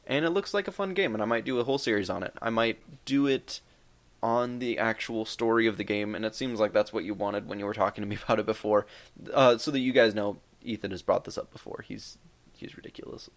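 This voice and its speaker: Rambling young man with a lowish voice. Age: 20s. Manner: rambling, joking, many fillers. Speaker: YouTube gaming creator. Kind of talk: casual Q&A vlog.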